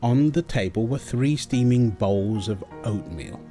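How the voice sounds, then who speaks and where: A man acting a gentle young father at his baby daughter's bedtime, soft, playful and coaxing in an intimate roleplay style. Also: medium pitch, expressive, unhurried, faint music behind, lightly acted. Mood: storytelling. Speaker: audio roleplay performer. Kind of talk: intimate comfort roleplay, storybook reading.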